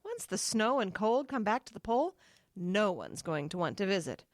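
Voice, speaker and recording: An actress playing an affectionate, practical wife in an audio drama, sweet and matter-of-fact. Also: female voice, mid-range voice, sing-song, normal speed, dry recording, clean studio sound, lightly acted. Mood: chatty, wistful. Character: affectionate, practical wife who runs tours.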